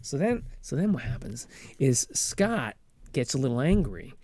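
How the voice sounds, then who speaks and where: A man hosting a podcast, telling a story in a halting, animated, rambling comic recap. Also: mid-range voice, breathy edge, no room sound. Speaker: podcast host.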